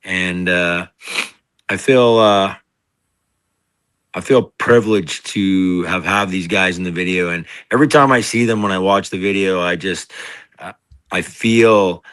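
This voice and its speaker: Middle-aged singer-songwriter, very low and deep, rambling and chatty, dry close sound. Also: male voice, measured pace, a bit bright, clean tone, no room sound. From Canada. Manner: rambling, full of fillers and restarts. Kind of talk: casual interview storytelling.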